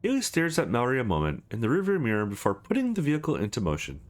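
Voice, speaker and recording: A man's voice: mid-range voice, wildly swooping pitch, very fast. A man reading a visual novel aloud as a let's play host, with an amused, reactive manner. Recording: music behind the voice. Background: game music.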